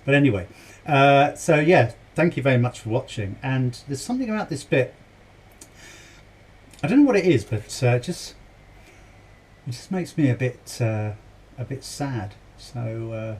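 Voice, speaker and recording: A man's voice: mid-range voice, big pitch swings, unhurried, long pauses, a little mellow, husky. A British man hosting a reaction video, speaking casually and hesitantly with many fillers. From Britain. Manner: hesitant, lots of uh and um.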